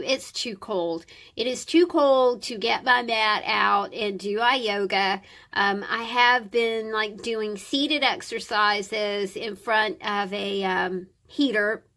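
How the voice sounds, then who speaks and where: A woman's voice: fairly high pitch, a bit bright. A woman with a scratchy, stuffed-up voice chatting casually and in a friendly way as she shows craft items to her viewers. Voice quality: scratchy, croaky; stuffy nose. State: scratchy throat and congestion. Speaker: craft channel host. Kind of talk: casual show-and-tell chat.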